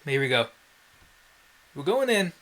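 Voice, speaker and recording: A man's voice: a little high, sing-song, a little slow, pushed and bright. A man giving casual gameplay commentary in a wry, self-deprecating way, with some mild swearing. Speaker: let's play host.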